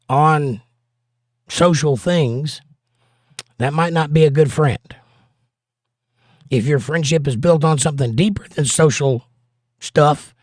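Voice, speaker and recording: Hoarse man giving folksy advice. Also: fairly high pitch, dry recording, studio quality. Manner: folksy, plain-spoken advice. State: hoarse, voice partly lost. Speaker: livestream host and pastor. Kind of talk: casual livestream chat, reading viewer comments.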